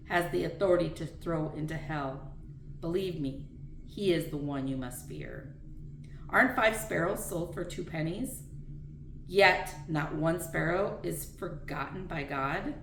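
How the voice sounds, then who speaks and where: A woman's voice: on the low side, creaky. A woman reading scripture aloud in an earnest, plain read-aloud manner, with the occasional stumble. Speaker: reader of daily scripture readings. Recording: roomy echo, distant mic, faint noise.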